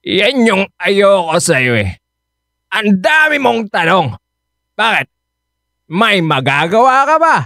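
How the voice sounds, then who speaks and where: A drunk, short-tempered live-in boyfriend in a radio drama. He slurs and hedges with "eh" and "ah", and his pitch swings wildly from low mutters up to high yelps. The voice sits close and dry. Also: sing-song, takes it slowly, long pauses, pushed and bright, no echo, exaggerated voice. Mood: irritated. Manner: slurring, defensive, full of hesitant "eh" and "ah".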